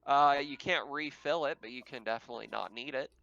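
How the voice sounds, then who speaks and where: A man's voice: medium pitch, a little fast, gravelly, sharp tone, dry recording, highs cut off. A man acting as game master, casually talking players through a tabletop roleplaying game.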